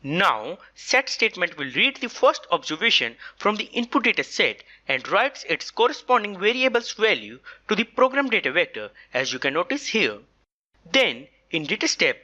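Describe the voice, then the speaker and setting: An instructor narrating a scripted software tutorial in a methodical, explanatory manner. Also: mid-range voice, highs cut off.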